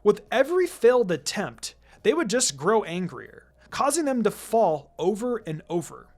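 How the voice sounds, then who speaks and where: A narrator reading fan fiction aloud in an expressive, scripted storytelling style. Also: medium pitch, normal speed. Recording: background music under the voice. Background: music.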